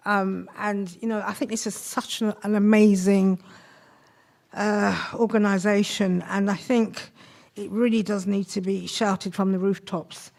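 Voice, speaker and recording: A woman from Nigeria introduces herself at a seminar, shy and hesitant, with many pauses and ums. She sounds tired after a night shift, and her voice sits at an average pitch. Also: steady tone, gravelly, a little mellow. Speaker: nurse and community association secretary. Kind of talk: speaking in a seminar introduction round.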